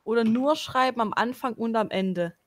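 A woman's voice: a little high, normal speed, slight background noise. A woman chatting casually with friends during an online drawing game, giggling often. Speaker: fellow player.

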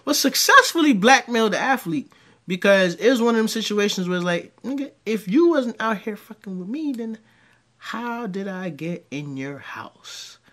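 Man, thirties, bright tense voice, lively swoops, slow deliberate delivery, reaction commentary. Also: sits high. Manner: animated, rambling storyteller with crude jokes. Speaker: commentary host. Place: dim home room with green light.

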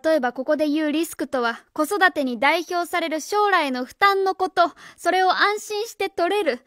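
A woman's voice: extremely high pitch, normal speed, a bit bright, no echo, clean studio sound. A woman playing an earnest, proud, idealistic schoolteacher in a radio drama, speaking formally and with indignation. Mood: indignant. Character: earnest, proud, idealistic female junior high school teacher. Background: music.

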